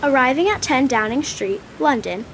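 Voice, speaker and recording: A woman narrates a radio play in a steady, descriptive voice that sits slightly high. Her delivery is scripted and even. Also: normal speed, a little hiss or hum, poor audio.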